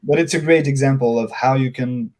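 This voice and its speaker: French guy getting interviewed, french accent, kinda halting, feels around for his words in english. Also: mid-range voice, a little mellow. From France. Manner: halting, repeats words as he searches for them. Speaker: interview guest. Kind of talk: conversational interview.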